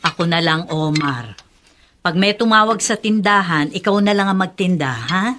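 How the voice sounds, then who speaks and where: Isang babaeng aktor na gumaganap bilang maalaga at banayad magsalitang tiyahin sa dulang panradyo. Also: female voice, lowish voice, animated, moderate pace, fairly bright tone, slight background noise. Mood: caring, homely. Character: caring older aunt who runs a store. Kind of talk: Filipino radio drama acting.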